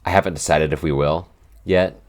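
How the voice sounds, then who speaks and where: A man with an extremely low voice talks as a podcast co-host and writer. His delivery is informal and conversational, looping back over phrases and peppering them with "like" as he works through an answer. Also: fairly even intonation, a little fast. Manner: informal, circles back with frequent "like". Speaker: podcast writer and co-host reading listener questions. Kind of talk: casual Q&A chat.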